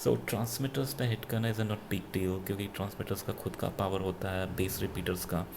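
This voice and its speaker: A man with an Indian accent giving an unscripted gadget review in a halting, self-correcting way, switching between two languages. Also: deep voice, fairly even intonation, creaky, background noise. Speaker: product reviewer. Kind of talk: unscripted gadget review, mixing Hindi with English.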